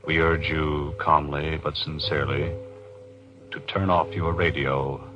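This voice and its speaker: A man announcing and pitching for the sponsor in 1940s radio style, polished and emphatic, on a muffled old recording. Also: flat delivery, a little slow, music in the background.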